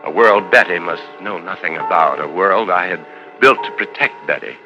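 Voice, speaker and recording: An actor playing an ambitious, tormented, possessive husband in a 1950s radio suspense drama, with brooding, intense, clipped delivery. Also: male voice, deep voice, moderate pace, muffled recording. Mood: brooding, anguished. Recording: old 1950s radio recording with music.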